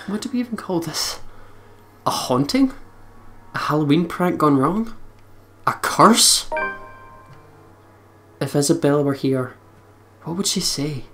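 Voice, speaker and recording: A let's play commentator with a medium-pitched voice reads a visual novel aloud over game music. The narration is expressive and story-like, broken up by casual, sweary and joking remarks. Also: unhurried, long pauses. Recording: music behind the voice.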